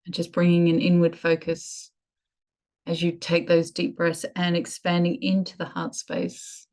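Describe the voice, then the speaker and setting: A woman leading a guided meditation in a soothing, measured way with lingering pauses. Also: on the low side, completely flat delivery, a little mellow.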